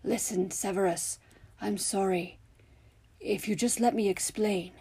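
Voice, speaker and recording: A woman voicing a calm, apologetic male werewolf teacher in a storybook, gentle and placating, with a hoarse voice. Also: high-pitched, normal speed.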